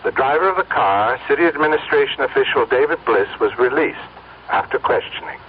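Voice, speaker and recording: An actor in an old-time radio drama playing an impassive male newsreader with formal, measured delivery. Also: mid-range voice, animated, close to an everyday voice. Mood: formal, neutral. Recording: tinny, faintly noisy radio newscast.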